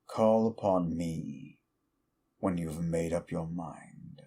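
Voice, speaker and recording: Actor doing a petty elder demon, male, deep and unhurried, scornful, audio roleplay. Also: vocal fry, mellow, exaggerated voice. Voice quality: slow deep mocking drawl.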